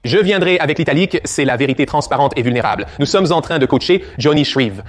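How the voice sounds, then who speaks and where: A man's voice: fairly high pitch, expressive, fast talker. A man coaching viewers through a back exercise, giving direct step-by-step instructions on form. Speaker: fitness coach.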